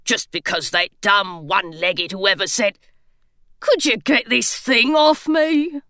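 A woman's voice: medium pitch, slow, pushed and bright, dry recording, studio quality. A woman reading aloud, voicing a fretful, dramatic male stone gargoyle who sighs and complains. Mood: grumbling. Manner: indignant and self-pitying, theatrical sighs.

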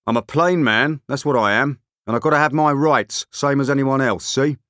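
A man's voice: mid-range voice, normal speed, a bit bright, no echo, studio quality, pitched above the performer's own voice, natural voice. A man voicing a big, beefy working-class bully in an audiobook, blunt, growling and aggrieved. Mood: defiant, aggrieved. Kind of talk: audiobook character voice.

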